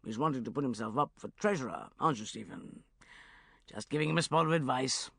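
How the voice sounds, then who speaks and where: A woman voicing a loud, brash older uncle, roaring and hearty. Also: a bass voice, expressive, brisk, vocal fry, breathy edge, no room sound, studio quality, pitched below the performer's own voice, exaggerated voice.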